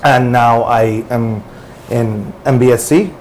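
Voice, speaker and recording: Man, casual panel guest, average pitch, slightly halting, light background noise. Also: a little mellow, low-quality recording. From Saudi Arabia. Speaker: panelist, MBA student and entrepreneur.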